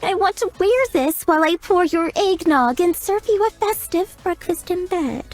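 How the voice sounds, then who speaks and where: Giggly flirty maid. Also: female voice, very high-pitched, lively intonation, normal speed, soft background music. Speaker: chambermaid character. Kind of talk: video game voice lines.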